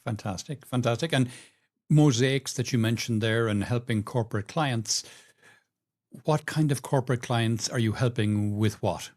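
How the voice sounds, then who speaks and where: A man's voice: mid-range voice, normal speed, a little mellow. A man hosting a podcast, introducing his guest and asking questions in a friendly way. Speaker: podcast host. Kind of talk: podcast interview.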